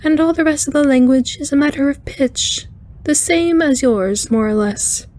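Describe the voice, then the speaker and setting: Woman voicing a high, cheerful alien spider engineer. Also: a little mellow, natural voice. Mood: warm, cheerful. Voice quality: high, light, chiming. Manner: brisk, precise, courteous, slightly formal. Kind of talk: audiobook character voice.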